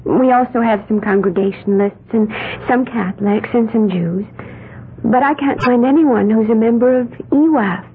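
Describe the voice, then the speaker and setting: A woman's voice: a little high, moderate pace, husky, phone-quality sound. An actress playing a dreamy young woman with odd beliefs who babbles in a wide-eyed, sing-song way, in a 1970s radio drama. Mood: earnest, dreamy. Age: young adult. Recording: band-limited old radio broadcast.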